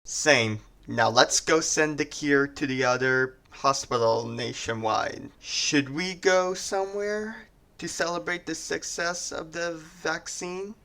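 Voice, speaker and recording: A man's voice: on the high side, takes it slowly, gravelly, pushed and bright, full character voice. A man playing a skeptical medical researcher in an amateur zombie outbreak audio drama. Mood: relieved.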